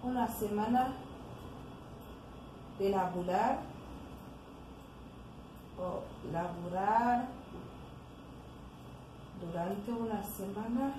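A young woman from Haiti, speaking with a Haitian accent, talks slowly through a home workout, tired and puffing between her cues. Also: medium pitch. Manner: slow, breathy puffing between exercise cues. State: tired from exercising. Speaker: fitness vlogger. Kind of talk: casual home workout instruction. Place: living room at home. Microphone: camera on the floor nearby. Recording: echoey room with background noise.

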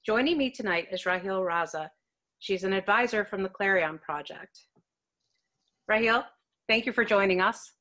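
A woman's voice: mid-range voice, normal speed, long pauses, bright, forceful tone. A woman hosting a web talk from a room at home, reading polished prepared questions over a video call. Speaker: host. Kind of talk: scripted web talk moderation.

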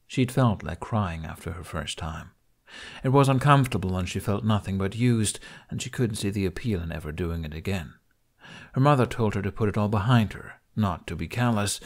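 A man reading a story as an audiobook narrator, in an expressive scripted style. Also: fairly low pitch.

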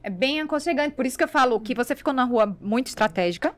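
Brazilian lady, talks fast, chatty and effusive, bit high voice, podcast chat. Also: animated. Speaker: podcast panellist. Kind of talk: casual, chatty interview talk. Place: small foam-treated podcast studio. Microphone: podcast mic on boom arm.

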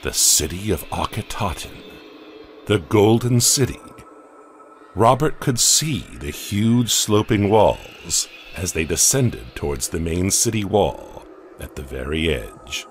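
A man narrating a horror audio comic in a slow, deliberate, dramatic way. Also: low-pitched, expressive, slight background noise.

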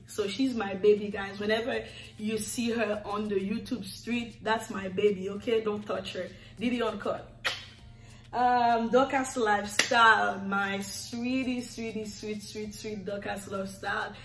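Haitian-accented woman, affectionate sing-song vlogger, slow unhurried delivery, echoey living room, faint music underneath. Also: medium pitch, steady tone. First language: Haitian Creole. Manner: affectionate, sing-song, lingering on repeated words. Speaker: YouTuber. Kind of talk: thanking subscribers on camera. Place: living room with red leather sofa, indoors. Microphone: camera in front, seated.

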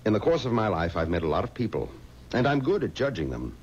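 A middle-aged man, an assured, fatherly and somewhat pompous boss in a 1970s radio mystery. His delivery is very animated, heard on a dull old recording with faint noise. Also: fairly low pitch, fast talker. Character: confident, paternal funeral home boss, brisk and dismissive. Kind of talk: 1970s radio drama acting.

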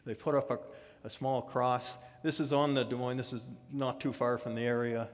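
An older man on a stage with a headset mic, telling a story to an audience with pauses and restarts. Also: medium pitch, little pitch movement. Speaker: speaker. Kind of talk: storytelling talk. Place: dark auditorium stage. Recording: long hall reverb in a large hall.

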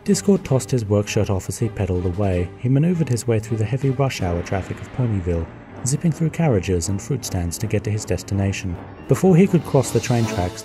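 A man giving steady, scripted narration for a fan radio play. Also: on the low side.

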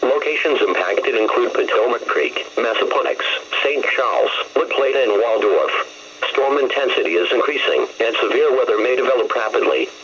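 A synthetic man's voice reading scripted weather bulletins in a measured, impersonal manner over a radio. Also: medium pitch, lively intonation, fairly bright tone. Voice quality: synthetic text-to-speech voice. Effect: radio broadcast. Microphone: camera recording a radio's speaker. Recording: muffled, noisy weather radio speaker.

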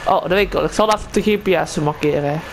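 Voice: medium pitch, quick pace, natural voice. A player voicing a friendly, casual local townsman in an improvised game roleplay, explaining things hesitantly. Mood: hesitant, helpful. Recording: clearly noisy game voice recording. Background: game ambience and vehicle sounds.

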